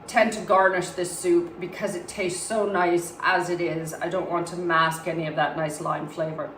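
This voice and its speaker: Hoarse woman with a cold, demonstrating a recipe. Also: fairly low pitch. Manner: calm, explains health benefits while cooking. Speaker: cooking presenter. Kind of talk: casual cooking demonstration. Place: home kitchen. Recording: roomy, distant mic, faint background hum.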